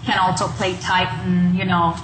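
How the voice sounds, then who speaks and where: A woman's voice: lowish voice, little pitch movement, normal speed, noisy background. A woman from Iceland, talking casually in an interview as a singer-songwriter. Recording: echoey room, music behind. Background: music.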